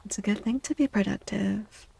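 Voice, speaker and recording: Soft-spoken woman with a creaky, mellow voice. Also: medium pitch, moderate pace. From United States, born to Mexican parents. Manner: gentle, encouraging, replying to viewers. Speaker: VTuber streamer chatting with viewers. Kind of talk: soft-spoken ASMR casual chat. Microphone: close, dry microphone.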